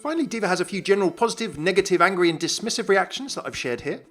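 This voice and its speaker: A man's voice: high-pitched, animated, very fast, a bit bright, soft background music. A man narrating a scripted gaming video as its presenter.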